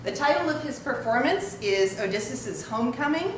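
A woman's voice: high-pitched, lively intonation, moderate pace. Formal woman speaker in a very echoey hall. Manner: formal, careful phrasing. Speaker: event introducer. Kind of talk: prepared formal introduction speech. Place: indoor hall with an audience. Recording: very echoey hall, distant, noisy.

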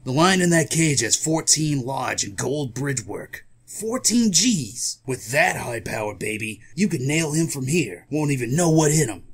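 A man's voice: on the high side, lively intonation, a little slow, few pauses, breathy edge, full character voice. A man voicing a scheming crook, coolly persuasive and confident, in a comic book audio drama.

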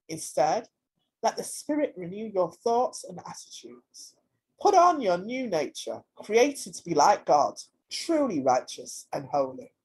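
A woman reads scripture aloud in a husky, slightly rough voice. Her pitch moves widely from phrase to phrase, making the reading lively and expressive. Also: rough recording. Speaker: scripture reader.

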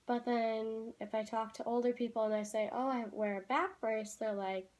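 A teenage girl vlogging from a room at home, rambling in a casual, chatty way with lots of "like"s and quick apologies. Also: fairly high pitch, fairly even intonation, darkish tone. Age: teens. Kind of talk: casual chat to camera. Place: small room at home, indoors.

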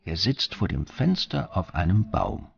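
A man reciting a bedtime poem slowly, in a scripted reading. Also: deep voice, very animated, creaky, slight background noise. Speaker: poem reader. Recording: slightly dull, muffled sound.

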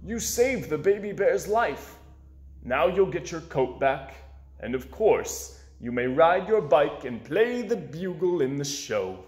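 A bossy circus show director, acted out by a man reading aloud, with a high voice that swings widely. He speaks emphatically and excitably, like the boss of a storybook show, in a roomy space. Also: slow. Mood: grateful, proud.

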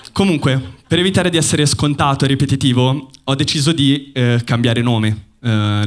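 A young man from Bologna does a stand-up set on a theater stage, speaking into a handheld microphone. His delivery is deadpan and ironic, with a mid-range pitch, and he pauses to let the jokes land. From Bologna, Italy. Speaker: stand-up comedian. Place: theater stage before a live audience. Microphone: handheld mic.

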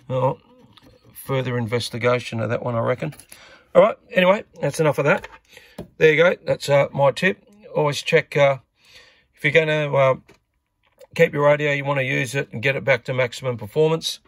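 Australian male hobbyist presenter, mid-pitched and gravelly, casual and off the cuff, thinking aloud. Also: normal speed, pauses often, a bit bright, dry recording. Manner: hesitant, thinking aloud as he works. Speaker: radio repair hobbyist presenter. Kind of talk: casual unscripted repair walkthrough.

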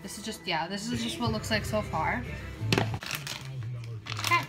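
A woman's voice: mid-range voice, moderate pace, over loud music. A young woman chatting informally and self-deprecatingly to a camera in a home kitchen, as a casual vlogger. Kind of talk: casual chat to camera while cooking.

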